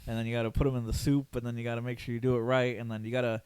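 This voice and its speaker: A hoarse man hosting a podcast in loose, rambling casual chat, full of fillers and swearing. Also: medium pitch, level tone, very fast, darkish tone, no echo. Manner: rambling, loose and profane, with lots of fillers and laughs. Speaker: podcast host.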